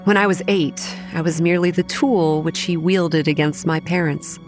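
A young woman acting as narrator of a horror audio drama, recounting grim childhood memories in a measured, matter-of-fact way. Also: lowish voice, fairly even intonation, lightly acted. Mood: grave, measured. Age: young adult. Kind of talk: scripted first-person horror narration. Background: soft music.

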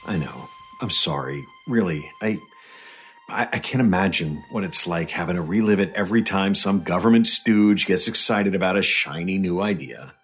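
A man's voice: low voice, moderate pace, a bit bright, soft background music. A man playing an earnest government researcher in an audio drama, hesitant, fumbling and apologetic in a natural style. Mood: earnest, sympathetic.

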